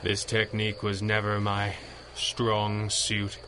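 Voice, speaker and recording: An actor playing an exhausted, erudite masked hero who speaks drily and formally in a radio drama. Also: male voice, fairly low pitch, monotone, takes it slowly, pushed and bright, slight background noise, heavily acted. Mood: strained, wry. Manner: dry, formal wording. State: weak, exhausted. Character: weakened, wry, erudite male masked hero, stubborn.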